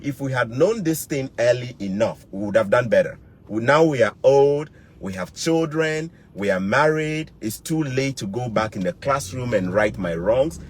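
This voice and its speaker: A man's voice: mid-range voice, a little hiss or hum. A Ghanaian man giving motivational advice to camera in an emphatic way, repeating key words and phrases for weight. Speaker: YouTube vlogger.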